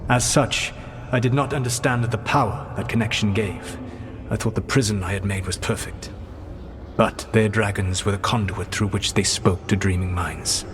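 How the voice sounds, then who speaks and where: Man playing a grave, low-voiced ancient elven mage. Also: fairly even intonation, close to an everyday voice. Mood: regretful. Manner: measured, formal, solemn. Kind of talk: scripted fantasy game dialogue.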